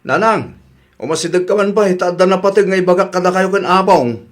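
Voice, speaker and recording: An actor playing a boastful, scheming young suitor in a comedy radio drama. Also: male voice, sits high, fairly quick, lightly acted. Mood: insistent. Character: boastful, scheming young suitor lying about his job.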